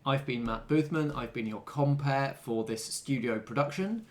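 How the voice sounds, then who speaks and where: A man's voice: mid-range voice, moderate pace, faint music behind. A man hosting a podcast as game master, chatting casually about a game. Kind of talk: casual backstage discussion.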